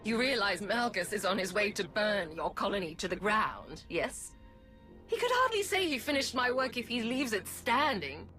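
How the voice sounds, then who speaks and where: An actress playing a pompous, scornful Sith lord scientist in a video game, with elaborate, disdainful diction. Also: female voice, mid-range voice, sing-song, normal speed, music in the background, lightly acted. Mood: smug. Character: haughty, theatrical female Sith lord villain, scornful scientist. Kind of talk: video game villain acting.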